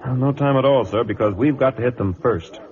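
An actor playing an earnest, dutiful cavalry lieutenant in a radio drama, with an American accent. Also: male voice, medium pitch, a little fast, a little mellow, lightly acted. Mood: earnest, urgent. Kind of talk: old-time radio drama acting. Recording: muffled old radio broadcast.

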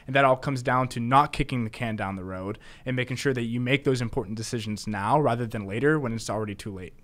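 A young man speaks into a boom mic in a small studio. He explains financial points in a measured, even manner. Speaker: financial advisor, show co-host. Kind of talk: radio talk show commentary. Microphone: studio boom mic with headphones.

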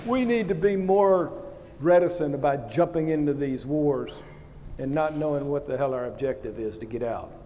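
A man's voice: on the high side, normal speed, mellow, muffled recording. Blunt older man on an auditorium stage. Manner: blunt, opinionated, plain-spoken. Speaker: panel guest, retired naval officer. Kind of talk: candid panel answer. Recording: long hall reverb.

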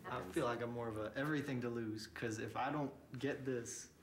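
A man's voice: medium pitch, fairly even intonation, fairly quick, slight background noise. A young man in his twenties from Texas, a contestant speaking earnestly. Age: 20s. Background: music.

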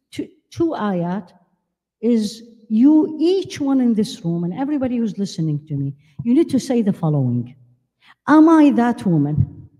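Older woman preacher, mellow voice in a hall. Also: on the high side, husky. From Iraq. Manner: animated, gesturing, asks the audience questions. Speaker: Islamic lecturer. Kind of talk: religious lecture to a women's conference. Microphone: handheld mic. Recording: long hall reverb.

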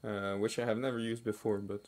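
A man's voice: lowish voice, level tone, brisk, a little mellow. A man giving casual, hesitant unboxing commentary as a hobby builder, with offhand trailing asides. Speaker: hobby builder and video host. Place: indoors at a wooden table. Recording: faint noise, crinkling packaging. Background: clicks and crinkling plastic bags.

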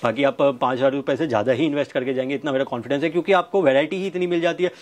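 A man in his thirties giving a sales pitch to camera, listing things with repetitive emphasis. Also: fairly even intonation, very fast. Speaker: fabric manufacturer's representative presenting stock. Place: fabric showroom indoors. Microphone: clip-on wireless lapel mic.

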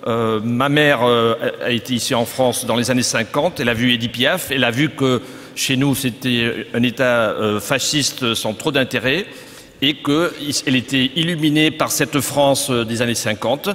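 A middle-aged man with a Spanish accent speaks frankly from a conference panel, stopping now and then to hunt for a French word. His voice sits at an ordinary pitch. It carries a long reverb from a large hall. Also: moderate pace, fairly bright tone. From Spain. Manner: frank, hesitant, searching for French words. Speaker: panel guest, gynecologist. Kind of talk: panel discussion. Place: conference stage. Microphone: stand mic at table.

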